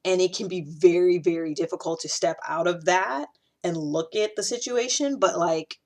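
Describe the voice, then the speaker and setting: Chatty woman with a lowish voice. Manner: animated, chatty, many fillers and restarts. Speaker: video host. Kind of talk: casual talk to camera. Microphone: camera, solo recording.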